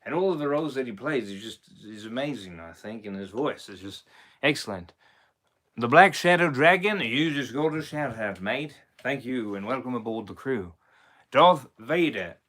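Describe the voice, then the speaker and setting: A man hosting a live stream in a put-on pirate English drawl, playful and theatrical. His pitch swings widely from phrase to phrase. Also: expressive, normal speed, fairly bright tone. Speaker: impressionist streamer. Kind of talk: live stream shout-outs.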